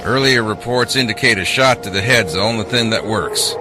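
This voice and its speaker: A man playing a steady, businesslike soldier reporting in a radio drama, curt and matter-of-fact. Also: fairly quick, over loud music, close to an everyday voice. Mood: composed, grim. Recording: noisy field radio feed, vehicle rumble.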